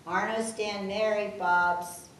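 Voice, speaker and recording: Slow-paced older woman in an echoey room. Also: medium pitch, steady tone, fairly bright tone. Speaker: family member reading her own poem. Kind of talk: reading a rhyming tribute poem aloud, with informal asides. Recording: echoey room, distant mic, faint noise.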